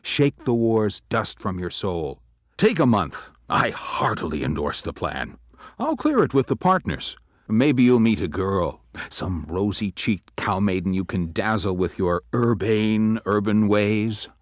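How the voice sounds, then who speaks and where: Male actor as an avuncular senior partner, low and lively, dry studio sound. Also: measured pace, dry recording. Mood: jovial. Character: older male lawyer boss, jovial and teasing. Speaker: law firm senior partner. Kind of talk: audio drama acting.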